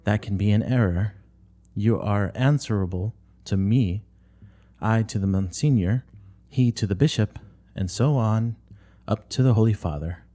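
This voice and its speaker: Man reading stern older nun, low-voiced and severe. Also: a little slow, warm tone. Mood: severe, lecturing. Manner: curt, severe, moralizing. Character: stern, strict older nun and school principal. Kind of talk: dramatic play reading.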